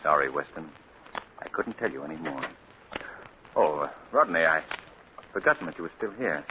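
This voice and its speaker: An actor playing a composed, secretive wealthy gentleman who speaks in a measured, polished way, on a tinny, hissy 1940 radio broadcast. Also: a bass voice, animated. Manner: measured, polished, with small hesitations.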